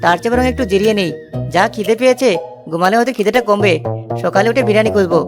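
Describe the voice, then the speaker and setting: A woman's voice: mid-range voice, racing, over music, natural voice. A woman voicing a lazy, gluttonous young village man in a cartoon story, whining and carefree. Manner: whining, carefree, dreamy about food.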